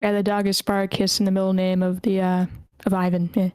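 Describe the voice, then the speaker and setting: A woman moderates a group discussion over an online call. Her voice sits at an average pitch, and the sound is dry with very little room around it. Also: completely flat delivery, fairly quick, a little mellow, smooth, no echo. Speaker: host moderating a script feedback session. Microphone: online voice meeting.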